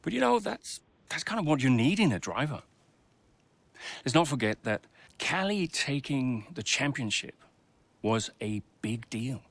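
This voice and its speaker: A man playing an earnest racing team principal and mentor, speaking in a measured, thoughtful, hesitant way. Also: medium pitch, very animated, vocal fry, a bit bright, no room sound, heavily acted. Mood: reflective. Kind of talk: documentary interview and speech.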